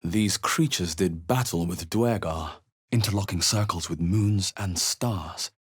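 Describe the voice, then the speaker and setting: A man playing a wary, thoughtful fantasy adventurer in a video game, musing aloud in a measured, dramatic way. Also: low voice, slightly rough. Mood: musing, watchful. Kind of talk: video game voice acting, short exploration remarks.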